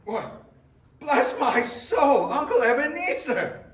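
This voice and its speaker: A hearty, exuberant young nephew, played by a man in a stage reading. The voice is very high and drawn out, far from the mic in an echoey room. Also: sing-song, slow, fairly bright tone, full character voice. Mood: overjoyed. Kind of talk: readers theater stage reading.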